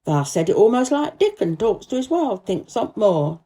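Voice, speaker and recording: A woman's voice: medium pitch, sing-song, normal speed, mellow. A woman voicing a surly, blunt old male gardener with a Yorkshire accent in a storybook reading. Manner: blunt, gruff dialect speech.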